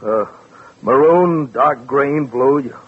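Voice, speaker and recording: A man's voice: mid-range voice, slow pace, slightly rough. An actor playing an anxious, pleading man under suspicion, stammering in 1940s radio drama style. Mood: desperate, pleading. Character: desperate, anxious man suspected of killing his wife. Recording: tinny, noisy vintage radio recording.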